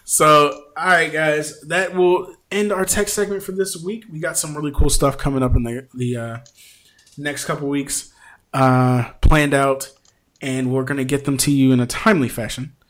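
A man's voice: on the high side, steady tone, moderate pace, fairly bright tone. A man hosting a podcast, talking casually and informally in colloquial slang. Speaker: podcast host.

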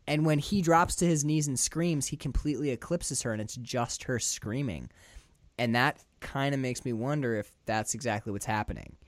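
A man co-hosting a casual film podcast. He talks excitably, swears freely, often laughs mid-sentence, and his voice jumps up high when he gets worked up. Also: moderate pace, no echo. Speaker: podcast co-host. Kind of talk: casual film-discussion chat.

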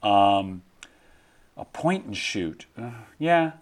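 A man's voice: on the low side, sing-song, extremely slow. A man hosting a podcast, chatting casually and playfully with a co-host and joking at his own expense while reading listener mail. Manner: playful, jokey, self-mocking.

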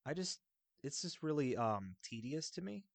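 A man's voice: a little high, big pitch swings, moderate pace, vocal fry, no echo. A man co-hosting a podcast, talking casually about a video game and giving his opinions in an informal, conversational way. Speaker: podcast co-host.

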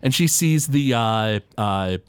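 A man's voice: mid-range voice, expressive, slow, clear, resonant tone, dry recording. A man narrating as game master of a tabletop game, rambling with many fillers.

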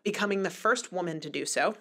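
A woman co-hosting a casual podcast, telling a history story in a relaxed, chatty way with asides and fillers. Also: on the low side, a little fast.

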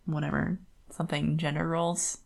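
A woman with a fairly low voice speaks as a podcast co-host. She talks casually and with opinions, in a loose conversational style full of filler "like". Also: little pitch movement, normal speed, creaky. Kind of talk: casual book discussion.

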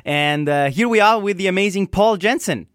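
Host: man with a fairly high voice, eager and effusive, stumbling through his questions. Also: fairly bright tone, dry recording, clean studio sound. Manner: enthusiastic, halting questions. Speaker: podcast host. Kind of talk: interview hosting.

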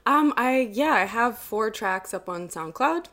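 A young woman, a native Russian speaker, chatting as a podcast guest and pausing often to search for words. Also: fairly high pitch, expressive, over a noisy crowd. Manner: hesitant, searching for words with many ums. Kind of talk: casual chat.

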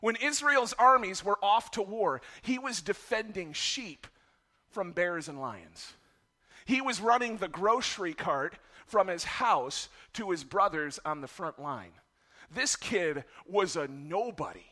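A middle-aged man delivers a sermon in a raspy, strained voice. He speaks high and bright, candid and self-deprecating about raising his children. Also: expressive, unhurried. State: raspy voice from yelling. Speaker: pastor.

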